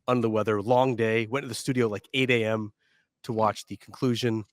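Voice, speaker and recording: A man co-hosting a golf podcast, sounding a little nasal from a head cold and running low on energy. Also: fairly even intonation, no echo, clean studio sound. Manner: measured, slightly tired delivery. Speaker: podcast co-host. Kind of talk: casual sports podcast chat. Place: studio.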